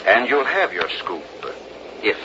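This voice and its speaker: A determined, confident American investigator in a vintage radio drama. His voice is low and swings widely in pitch, with formal, deliberate emphasis. The old recording is muffled and noisy. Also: very animated. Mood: firm bargaining. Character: determined, confident one-man grand jury investigator, father. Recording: dull, noisy 1930s-40s radio recording.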